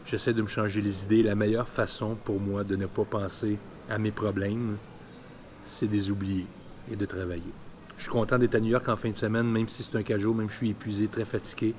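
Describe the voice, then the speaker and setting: A man's voice: lowish voice, a little mellow, a little hiss or hum. A man of about fifty with a Quebec French accent, telling a personal story candidly and colloquially in a vlog. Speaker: vlogger.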